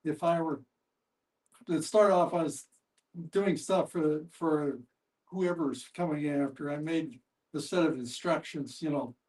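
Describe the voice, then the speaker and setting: An older man with a fairly high voice speaks some way from the microphone. He gives a personal account in a group discussion, halting and deliberate. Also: a little mellow. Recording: distant microphone.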